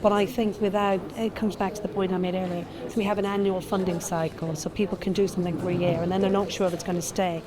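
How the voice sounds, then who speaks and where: A woman's voice: on the low side, level tone, fast, hardly any pauses. A woman, a nurse by background, answering interview questions at an event in an earnest manner, restarting and thinking aloud. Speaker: nurse and transformation consultant. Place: conference event. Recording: busy crowd noise behind.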